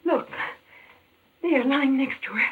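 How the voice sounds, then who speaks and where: A woman's voice: sits high, takes it slowly. An actress playing an anxious, innocent young woman in a 1940s radio crime drama. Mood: frightened. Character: frightened innocent young woman in murder plot. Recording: muffled phone-like old radio recording.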